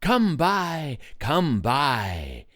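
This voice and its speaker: A man voicing a chorus of sly male goblin fruit-sellers, chanting their wares slowly in a sing-song call for a radio-play style reading. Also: fairly high pitch, wildly swooping pitch, fairly bright tone, no room sound, studio quality, full character voice. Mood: enticing.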